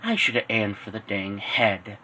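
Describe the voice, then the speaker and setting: A man's voice: fairly low pitch, sing-song, moderate pace, pushed and bright, exaggerated voice, poor audio. Man playing a musing, offhand supervillain. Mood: probing. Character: scheming adult male supervillain, gruff and musing.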